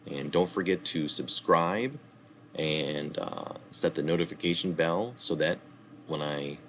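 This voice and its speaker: A man's voice: extremely low pitch, unhurried, a bit bright, a little hiss or hum. A man reading a health article aloud, now and then correcting himself and adding short asides. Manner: reads steadily, with small slips and asides.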